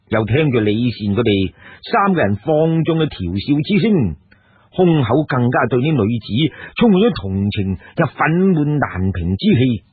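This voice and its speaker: A man's voice: medium pitch, breathy edge, no echo. A man with a Cantonese accent narrating a scripted story expressively as a storyteller. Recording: lo-fi, narrow phone-like radio sound.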